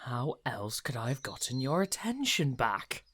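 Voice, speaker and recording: An actor playing a cheeky, persistent, unfazed young character in a radio play, with a playful, teasing, halting delivery. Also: medium pitch, sing-song, a bit bright, slightly rough, exaggerated voice. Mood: hurt, protesting.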